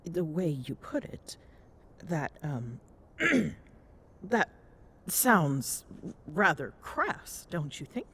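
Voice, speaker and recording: Woman playing a low, slow, smitten Amazon queen. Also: sing-song, a bit bright, slight background noise, heavily acted. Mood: coy, hesitant. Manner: formal, haughty diction with coy hesitations and murmured endearments. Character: smitten, regal Amazonian warrior queen, teasing and possessive. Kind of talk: intimate ASMR romance roleplay.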